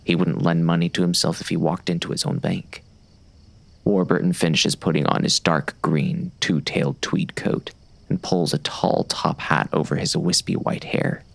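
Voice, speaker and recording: An actor playing a wry, brooding bounty hunter narrating a western audiobook in a gravelly voice. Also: male voice, deep voice, little pitch movement, moderate pace. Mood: wry, watchful.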